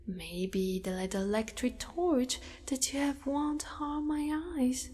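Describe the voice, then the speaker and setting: Casting: woman to voice a possessive, doting spider-girl monster for ASMR roleplay, high soft breathy voice, slow coaxing delivery, cavernous reverb. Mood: tender, soothing. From Italy. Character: possessive, doting female spider monster who kidnaps a mate.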